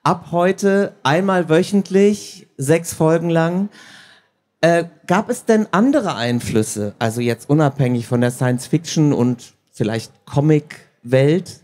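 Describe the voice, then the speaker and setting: A man's voice: a little high, animated, slow, a little mellow. A man moderating a live interview on stage as the host, introducing and questioning guests. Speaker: host, audiobook producer. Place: stage at a book fair.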